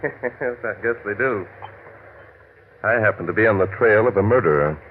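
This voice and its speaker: An actor playing a polite, probing criminologist in classic radio drama style, measured and slyly questioning. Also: male voice, on the low side, expressive, lightly acted. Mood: calm, probing. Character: calm, probing male criminologist on a killer's trail. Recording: muffled, lightly noisy vintage radio recording.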